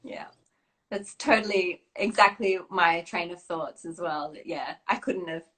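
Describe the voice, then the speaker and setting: A woman's voice: lowish voice, measured pace, sharp tone, low-quality recording. A woman talking casually as an interview guest on a live video call, chatty and self-deprecating, with many fillers and restarts. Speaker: guest, children's book creator. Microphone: phone or video call.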